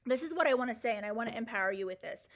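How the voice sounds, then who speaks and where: A woman's voice: on the high side, lively intonation, racing. A woman in her forties hosting a live Q&A, answering viewer comments in an enthusiastic, emphatic and reassuring way. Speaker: host.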